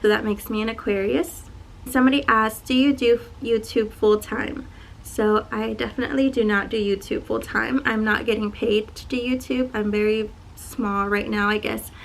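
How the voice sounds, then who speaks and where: Twenties woman, quiet and shy, casual vlog chat to camera, medium pitch. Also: vocal fry, a little hiss or hum, low-quality recording. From Dallas, Texas. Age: 20s. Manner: soft-spoken, self-described shy and quiet. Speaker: YouTuber. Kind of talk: casual get-to-know-me Q&A.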